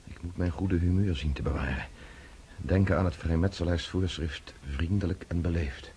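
A brooding Russian nobleman in a radio play. His voice is on the low side, curt and formal, with stubborn pride under strained courtesy, and there is faint noise behind him. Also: fairly even intonation, normal speed, mellow. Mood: restrained. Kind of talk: radio drama acting.

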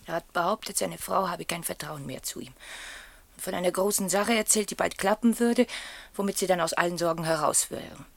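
Proud young wife, closely recorded. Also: female voice, fairly low pitch, brisk, vocal fry, no echo. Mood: troubled, confiding. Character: proud, troubled young wife, loyal and evasive. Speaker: actress in a radio drama. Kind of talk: German radio play dialogue.

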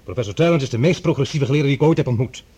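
An actor playing a sceptical, level-headed professor in a radio drama, speaking in a dry, firm manner. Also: male voice, mid-range voice, very fast, mellow, slightly rough, natural voice. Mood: sceptical, stern.

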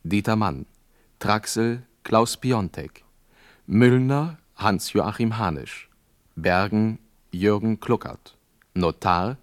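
Guy announcing radio drama credits, lowish voice, slow and formal, dry studio sound. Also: no room sound. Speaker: announcer reading cast credits.

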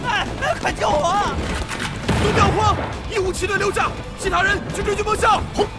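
Panicking guild fighter yelling orders, super high and raspy, loud music behind. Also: male voice, steady tone, normal speed. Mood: frantic shouting. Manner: frantic shouted orders and cries. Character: panicked, yelling male guild soldier in battle. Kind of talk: Chinese audio drama battle acting.

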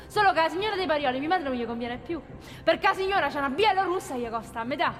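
Very high-pitched Roman working-class woman, plaintive and emphatic, radio drama over music. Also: lively intonation, racing, a bit bright. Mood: matter-of-fact. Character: exasperated pregnant working-class woman in her thirties. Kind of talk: theatrical radio drama monologue. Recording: reverberant theatre with music behind.

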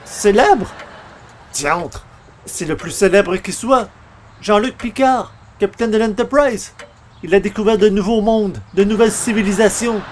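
A man playing an excitable, geeky newspaper columnist in an old-style radio comedy, theatrical and exclamatory. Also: sits high, measured pace, slight background noise. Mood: excited. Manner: theatrical, exclamatory, muttering to himself.

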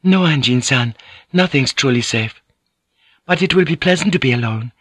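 A man voicing a courteous, gentle Japanese noblewoman in an audiobook, speaking softly and coaxingly. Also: medium pitch, expressive, moderate pace, husky, no echo, studio quality, voice pushed up. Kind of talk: audiobook character voice.

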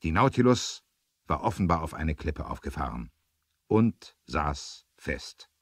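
Male narrator, low voice, lively intonation, suspenseful emphasis, vintage adventure radio play, dry close sound. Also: unhurried, dry recording. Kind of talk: dramatic scripted storytelling for 1970s adventure radio play.

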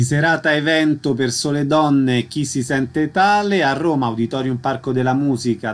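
A man hosting a radio culture show, presenting announcements and guests. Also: mid-range voice. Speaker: radio host.

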